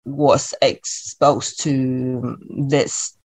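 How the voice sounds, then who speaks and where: A woman's voice: very deep voice, little pitch movement, drawn-out words, darkish tone. A woman in her thirties from Hong Kong with a Hong Kong accent, a chatty podcast guest who talks with many fillers and restarts. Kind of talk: podcast interview.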